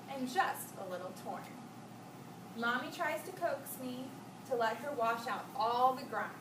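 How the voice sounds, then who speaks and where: High-voiced young woman in a noisy, echoey room. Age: young adult. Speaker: visiting student teacher reading to children. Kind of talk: read-aloud and chat with young children. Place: indoor school classroom with background noise. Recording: roomy, distant, noisy classroom recording.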